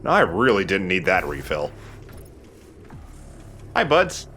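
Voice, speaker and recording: Bright-voiced, low-pitched man commentating casually. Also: huge pitch swings, slow pace, soft background music. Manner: joking, profane. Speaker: let's play host playing the game. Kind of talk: casual banter and game commentary.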